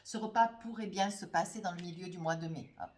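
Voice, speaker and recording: A woman's voice: lowish voice, fairly quick, a little mellow. A woman with a cold reads tarot cards for her viewers in speech. She rambles and hesitates, tagging her sentences with little asides. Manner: rambling, hesitant, full of "hein" and "voyez" tags. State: has a cold, runny nose. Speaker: tarot card reader. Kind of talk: spoken tarot reading to viewers.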